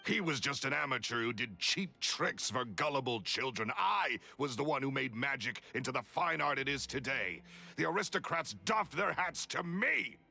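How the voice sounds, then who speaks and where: Game villain: greedy, boastful stage magician, theatrical and bitter, forceful gravelly delivery. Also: male voice, medium pitch, expressive, moderate pace, soft background music, heavily acted. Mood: boastful indignation. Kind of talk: video game voice acting.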